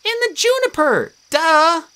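Squeaky, whiny tree nymph girl. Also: sing-song, measured pace, bright, forceful tone, exaggerated voice. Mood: squeaky indignant. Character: high-strung young female tree nymph.

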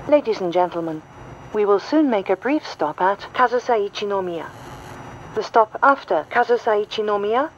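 A female train announcer delivers a formal scripted announcement at a slow pace. Her phrasing is measured and orderly. The sound is dull, as from an onboard speaker, over faint train noise. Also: mid-range voice, expressive. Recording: muffled train speaker recording, cabin noise. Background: faint train running noise.

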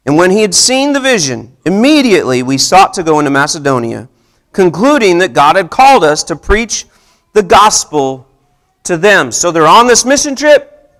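Man in his thirties, pastor, high voice, lively swooping delivery, conversational sermon, asks the congregation questions. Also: normal speed. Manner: animated, conversational preaching with audience questions. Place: church hall with seated congregation. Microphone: handheld mic, far camera.

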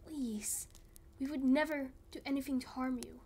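A woman's voice: sits high, fairly even intonation, moderate pace, darkish tone, a little hiss or hum. A woman voicing an anxious, protective man in an amateur game roleplay, earnest and hesitant. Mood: pleading. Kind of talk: amateur Minecraft roleplay voice acting.

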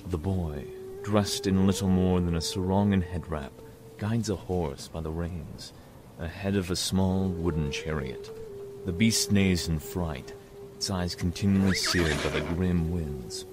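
A man's voice: low-pitched, unhurried, background noise. A man giving scripted audio drama narration in a literary, dramatic storytelling manner.

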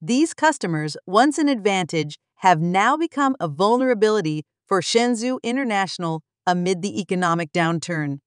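A woman delivering scripted documentary narration in an even, explanatory newsreader manner, recorded close as a voice-over. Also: medium pitch, moderate pace, few pauses, smooth, no room sound. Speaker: narrator of a news channel.

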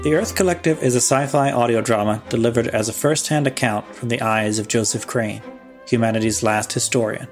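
A man's voice: medium pitch, fairly quick. A man hosting a podcast, reading a scripted show promo and credits. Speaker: podcast host. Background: music.

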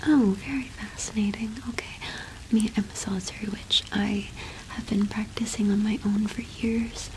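A woman in her twenties doing an ASMR roleplay as a cottage witch shopkeeper, speaking softly and unhurriedly with hesitant pauses, close to the microphone. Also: medium pitch, steady tone, a little hiss or hum, poor audio. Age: 20s. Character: friendly solitary cottage witch keeping a small shop.

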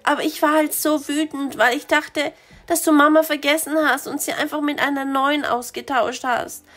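A hoarse woman voicing a bubbly fifteen-year-old schoolgirl in a cartoon story. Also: high-pitched, fairly even intonation, moderate pace, a bit bright. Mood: apologetic.

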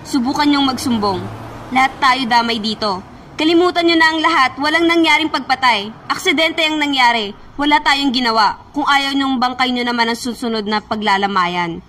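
A woman's voice: high-pitched, moderate pace, bright, forceful tone, slight background noise. A young woman playing a cold, menacing schoolgirl ringleader who sneers and threatens in a student radio drama. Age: young adult.

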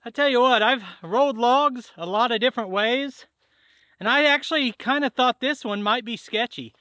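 A man in his thirties talks through a farm project in a chatty, step-by-step way. His voice sits high and sounds bright and forceful. The sound is dry and close, with no room echo. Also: moderate pace. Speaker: farm vlogger. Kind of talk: casual how-to vlog. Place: outdoors at a farm, by a garage and a pond.

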